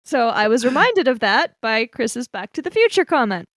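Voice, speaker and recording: A woman chatting casually and jokingly as a player in a tabletop game podcast, with animated, bantering delivery. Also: fairly high pitch, moderate pace, no echo, clean studio sound.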